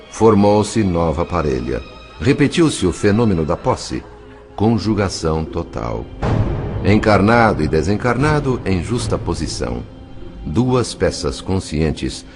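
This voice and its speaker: A low-voiced man narrates an audiobook in a scripted, dramatic literary style. His intonation is animated and varied. Soft music runs behind him.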